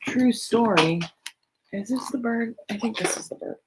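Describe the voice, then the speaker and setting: A woman reading a picture book aloud to children in an expressive storytelling way, with casual, chatty asides. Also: on the high side, moderate pace, very noisy.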